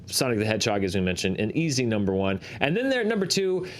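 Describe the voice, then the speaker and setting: A man hosting a box office show, giving conversational commentary in a measured, analytical, explanatory manner. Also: on the low side, wildly swooping pitch, slight background noise.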